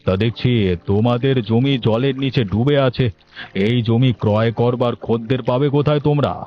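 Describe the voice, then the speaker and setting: Man acting a sly, measured land broker, muffled. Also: medium pitch, steady tone, slight background noise, close to an everyday voice. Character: sly bribe-seeking land broker gentleman. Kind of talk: Bangla cartoon voice acting.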